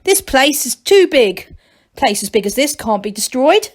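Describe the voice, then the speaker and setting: A woman's voice: sits high, big pitch swings, unhurried, pitched above the performer's own voice, heavily acted. A woman voicing a stubborn, opinionated old female gnome in a children's storybook. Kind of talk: children's storybook read aloud.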